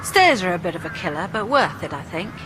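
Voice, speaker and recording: An actress playing a possessive, quietly commanding female ghost in an intimate radio horror drama. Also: fairly low pitch, very animated, brisk, noisy background. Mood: coolly insistent.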